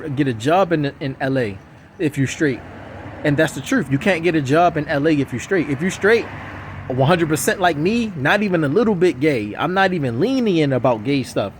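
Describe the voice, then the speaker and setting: A man with a slightly raised voice rambles on insistently in a casual vlog, circling back to the same points again and again. He is recorded outdoors on a city street, with faint traffic noise underneath. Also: a little high. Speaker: vlogger. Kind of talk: casual rambling monologue to camera.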